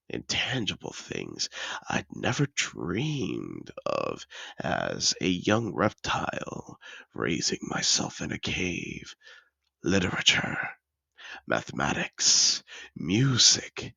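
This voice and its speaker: A man's voice: deep voice, creaky, pushed and bright, slightly rough, no echo, full character voice. A man playing a suave, possessive, wealthy dragon in human disguise, telling his story in a refined, theatrical manner in a scripted fantasy audio roleplay.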